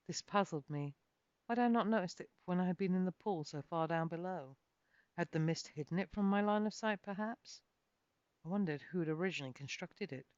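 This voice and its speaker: A woman author reading her own fantasy novel as a dramatic first-person storyteller. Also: lowish voice. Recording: muffled, echoey home recording.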